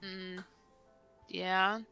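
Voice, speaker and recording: Bright-voiced Midwestern woman player. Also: mid-range voice, completely flat delivery, extremely slow, gravelly, clear, resonant tone, dry recording, dull audio, soft background music. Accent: Midwestern American. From Ohio. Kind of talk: casual tabletop game chat.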